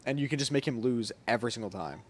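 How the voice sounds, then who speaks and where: A man's voice: medium pitch, lively intonation, quick pace, noisy background. A young man giving casual let's-play commentary, joking and reacting in slangy language. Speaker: gaming streamer.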